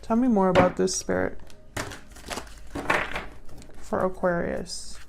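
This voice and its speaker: A woman giving a casual intuitive tarot reading to viewers, musing and pausing as she thinks aloud. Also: on the low side, steady tone, creaky, very noisy. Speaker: tarot reader.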